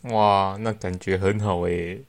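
Teenage boy as a low-voiced sly young trickster. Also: fairly even intonation, slow. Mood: polite. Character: sly young man feigning innocence as a new ghost. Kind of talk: amateur student radio drama acting.

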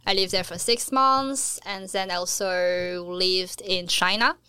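Woman in her 20s, from France, podcast guest, relaxed, filler-heavy chat, smooth voice, dry close sound. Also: lowish voice, unhurried, no echo. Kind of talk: casual interview chat.

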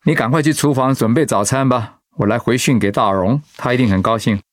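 A man acting an easygoing, caring middle-aged husband, a chaplain, in an expressive radio drama style. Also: medium pitch, a little fast, no room sound, studio quality, close to an everyday voice. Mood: placating. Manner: expressive, conversational.

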